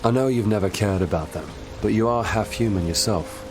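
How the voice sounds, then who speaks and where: An actor playing a serious, pragmatic vampire ally in a video game, speaking in a measured way. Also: male voice, lowish voice, close to an everyday voice. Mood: calm, sober. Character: grave, pragmatic male vampire ally and strategist. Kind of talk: scripted video game cutscene acting. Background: music.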